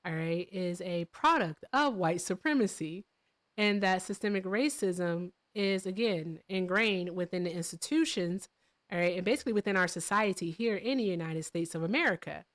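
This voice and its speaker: Woman, low voice, lecturing, emphatic, call-in debate. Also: lively intonation, moderate pace. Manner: lecturing, repeats "all right".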